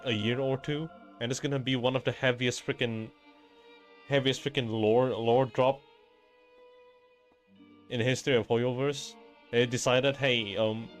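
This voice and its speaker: A man giving casual, informal and reactive gameplay commentary as a streamer, mildly profane. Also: medium pitch. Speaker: gaming streamer. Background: faint game music.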